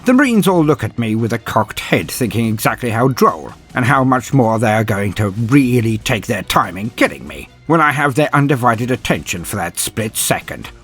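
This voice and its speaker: A man's voice: mid-range voice, big pitch swings, a bit bright, slightly rough, soft background music, exaggerated voice. A man playing a grim, proud, dryly sardonic inquisitor, narrating a battle in a theatrical, brooding way as a first-person audio drama. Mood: intense, wry. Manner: theatrical, brooding, with weighty pauses.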